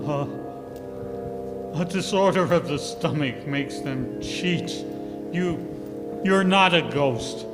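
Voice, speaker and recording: An actor playing a frightened, stubborn, scornful old miser in a 1940s-style radio play, with halting, stammering exclamations. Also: male voice, a little high. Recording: reverberant hall, loud music.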